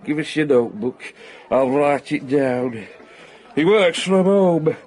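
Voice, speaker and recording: An actor playing a boozy, seen-it-all old newspaper hack, sardonic and matey, in a radio comedy-drama. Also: male voice, fairly high pitch, animated, slow pace, a little mellow. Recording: pub crowd murmur behind.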